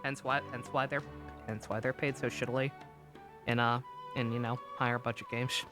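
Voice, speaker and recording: A woman's voice: a bass voice, level tone, moderate pace. A woman live streaming a game with casual, candid commentary, rambling and stammering, with frequent swearing. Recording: game music behind the voice.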